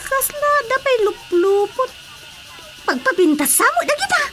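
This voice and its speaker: Very high, slow, exclaiming shopkeeper. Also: female voice, big pitch swings, a bit bright, soft background music, exaggerated voice. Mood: amused surprise. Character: giggly market shopkeeper woman, easily amazed and busy. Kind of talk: Ilocano radio drama comedy acting.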